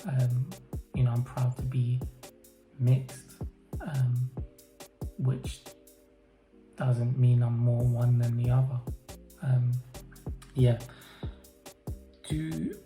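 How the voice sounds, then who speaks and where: Man from the UK, interviewee; flat, measured, hesitant delivery in a roomy space. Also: medium pitch. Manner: hesitant, thoughtful, many pauses and fillers. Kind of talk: reflective personal interview answers. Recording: roomy echo, faint music behind.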